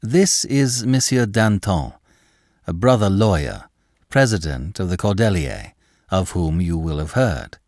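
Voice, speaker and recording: Serious, conciliatory gentleman, read by a male narrator in a low voice, dry booth sound. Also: expressive, no room sound. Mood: grave. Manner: grave, measured. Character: grave, conciliatory gentleman politician.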